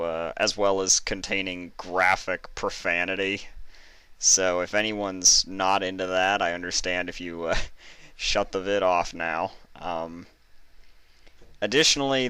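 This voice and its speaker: Man, low pitch, crisp bright tone, dry sound, casual expressive fan fiction reader. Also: dry recording. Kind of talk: casual introduction and expressive story narration.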